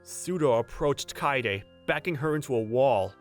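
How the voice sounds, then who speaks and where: A man's voice: mid-range voice, lively intonation, a little slow, soft background music, lightly acted. An actor playing an earnest, protective young male manager who narrates a romcom manga dub in first person. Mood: steady narration. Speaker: narrator and lead character.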